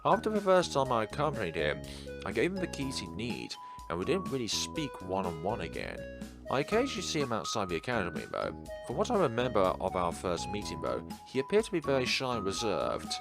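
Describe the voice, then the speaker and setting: A man's voice: lowish voice, huge pitch swings, brisk. A man voicing a polite, helpful city guide in a fantasy visual novel, explaining things courteously. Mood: polite, friendly. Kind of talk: read-aloud visual novel dialogue. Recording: background music under voice.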